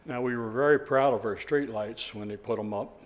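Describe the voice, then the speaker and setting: Older resident, folksy and rambling, mid pitch, public comment. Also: male voice, brisk. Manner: folksy, rambling, chuckling asides. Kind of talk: public comment at a town meeting.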